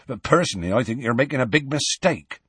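A man voicing a big, gruff, stubborn gamekeeper with a testy, blunt, colloquial way of talking. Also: mid-range voice, lively intonation, quick pace, a bit bright, dry recording, voice pushed up. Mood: grudging.

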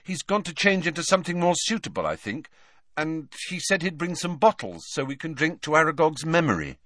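A man voicing a polite, earnest teenage boy wizard, speaking higher than his own voice in a reassuring way. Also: big pitch swings, brisk, fairly bright tone, no room sound, clean studio sound.

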